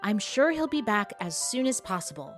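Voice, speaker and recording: A woman's voice: medium pitch, sing-song, normal speed, fairly bright tone, soft background music. A woman playing a stern, exasperated section chief of a spy agency in a comedic audio drama. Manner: curt, exasperated.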